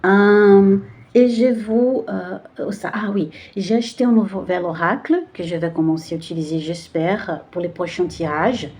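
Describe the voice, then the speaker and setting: A woman with a Brazilian Portuguese accent hosts a casual card-reading video in French, which is not her first language. Her voice sits in a middle pitch with a warm, mellow tone. She often pauses and searches for words as she talks. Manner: hesitant, searching for words with many fillers. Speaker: tarot card reader.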